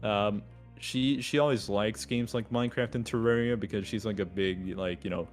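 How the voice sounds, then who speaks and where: A man's voice: on the low side, fairly even intonation, moderate pace, soft background music. A man in his twenties, a podcast guest, chatting casually and rambling with lots of filler words. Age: 20s. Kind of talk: casual chat.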